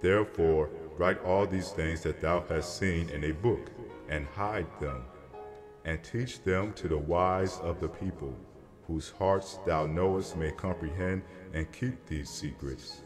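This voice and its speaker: A man's voice: sits low, a little slow. A man playing a solemn divine messenger who interprets a prophetic dream in a grave, declamatory scripture dramatization. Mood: solemn, instructing. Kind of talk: dramatized scripture reading over music. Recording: long hall reverb. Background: music.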